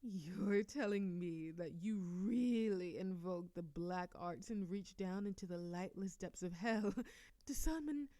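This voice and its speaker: A woman's voice: lowish voice, takes it slowly, mellow, dry recording, studio quality. A woman voicing a playful, seductive immortal succubus demon princess in an audio roleplay, teasing and giggly with a sultry drawl. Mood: incredulous. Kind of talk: scripted audio roleplay acting.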